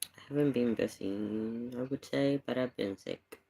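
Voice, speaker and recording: A live streamer chatting casually in halting, murmured asides. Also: mid-range voice, vocal fry, mellow, slightly rough. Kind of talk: casual livestream chat.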